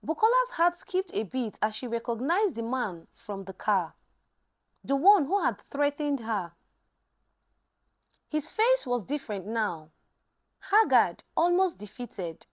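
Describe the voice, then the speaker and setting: A woman tells a dramatic story from a script. Her voice sits high, and her pitch moves widely as she narrates. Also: very animated, measured pace.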